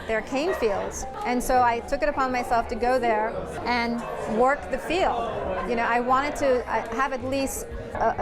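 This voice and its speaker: A woman artist from Trinidad who answers interview questions in an effusive, personal way. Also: fairly high pitch. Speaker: visiting artist. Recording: music bed underneath.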